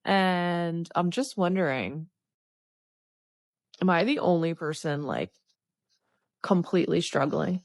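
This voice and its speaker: A woman's voice: fairly low pitch, slow pace, long pauses, no room sound, clean studio sound. A woman confiding wistfully to camera about her life. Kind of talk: confessional video to camera.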